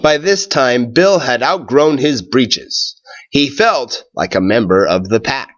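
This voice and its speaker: A man reads a tall tale aloud as a storyteller, at a slow pace and in a medium-pitched voice. He phrases things deliberately and dramatically. The recording is a little dull. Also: expressive. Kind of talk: expressive storybook narration.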